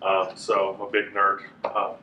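A man in his thirties teaching a class in a casual, chatty way, with a loud voice that carries and many fillers. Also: sits low, completely flat delivery, a little slow, slight background noise. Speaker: Bible teacher. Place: classroom with a whiteboard, indoors. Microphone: room mic from a fixed camera. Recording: echoey room, voice a bit far off.

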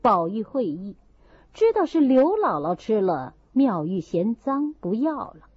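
A woman reading a classic novel aloud as an audiobook, with expressive dramatized narration. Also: on the high side, unhurried, husky, no echo. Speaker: audiobook reader. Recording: slightly dull, muffled recording.

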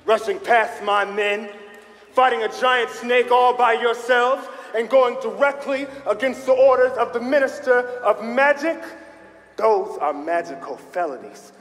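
An actor playing a pompous, excitable magical authority figure in a comic stage reading, with a declamatory delivery. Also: male voice, extremely high pitch, a little slow. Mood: stern. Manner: declamatory, builds to triumphant shouts. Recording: echoey theatre hall with music behind.

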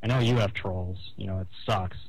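A man with a fairly low voice speaks on a phone call. He lays out his grievances haltingly, explaining as he goes. The sound is dry, with no room echo. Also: steady tone, breathy edge. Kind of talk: phone conversation, airing grievances. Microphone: telephone call.

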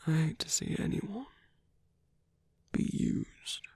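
A man voicing a seductive, possessive villain in an intimate ASMR roleplay, coaxing slowly in a breathy whisper. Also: darkish tone, no room sound, close to an everyday voice. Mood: tender persuasion.

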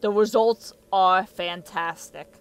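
A man's voice: high-pitched, fairly even intonation, slow pace, clear, resonant tone, rough recording. A young man giving casual reaction commentary and reading captions aloud, with muttered asides, on a close mic in a small room. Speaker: reaction video host.

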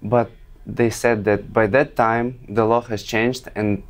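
A man's voice: on the low side, level tone, takes it slowly. Young man with a Russian accent. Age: young adult. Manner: friendly, storytelling. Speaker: guest performer. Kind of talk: interview answers.

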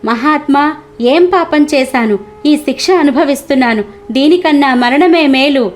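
A woman's voice: high-pitched, unhurried, soft background music, pitched above the performer's own voice. A woman voicing a weeping, long-suffering donkey that pleads for mercy in a cartoon moral story. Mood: sorrowful, pleading. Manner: plaintive, pleading lament.

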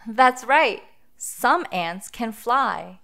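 A woman hosting a children's phonics read-aloud, speaking deliberately and sounding out letters and words for young listeners. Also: fairly high pitch, lively intonation, vocal fry, a bit bright.

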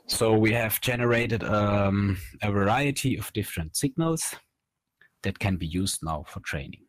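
A man in his thirties presenting a technical slide lecture as a researcher, explaining in a measured, halting way from a small room. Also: fairly low pitch, a little hiss or hum. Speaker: researcher presenting at an online academy.

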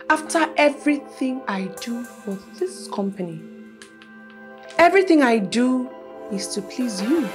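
A woman playing a heartbroken office lover. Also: on the high side. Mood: reproachful. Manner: pleading, reproachful, swings into tearful outbursts. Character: lovesick female employee, hurt by her married boss. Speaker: office assistant.

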